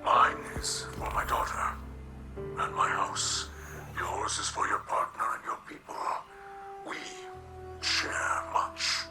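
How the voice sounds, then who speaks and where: An actor playing a solemn, devout alien warrior father in a video game, with a hoarse breathy rasp and halting, formal, reverent speech. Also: in a whisper, natural voice. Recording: music behind the voice.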